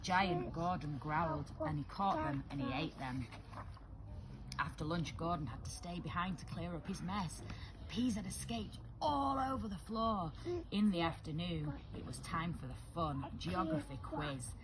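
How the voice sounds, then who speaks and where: A woman with a fairly low voice reads a story for young children. Her delivery is expressive and animated, made for a picture book. There is clear noise in the recording. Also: measured pace. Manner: animated, acting out dialogue.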